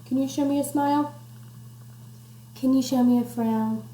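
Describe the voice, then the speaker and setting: A woman's voice: high voice, fairly even intonation, normal speed, dark tone. A woman doing an interactive picture-book read-aloud for young children, playful and encouraging, putting simple questions to them. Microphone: computer microphone. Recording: roomy echo, noticeable background noise.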